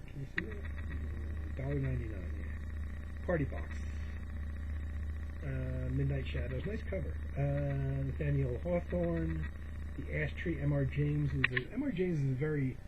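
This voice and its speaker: A man giving a casual, rambling show-and-tell as a vlogger, hesitant and often correcting himself as he meanders along. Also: mid-range voice. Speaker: vlogger showing a collection. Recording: dull lo-fi home recording, clear background noise.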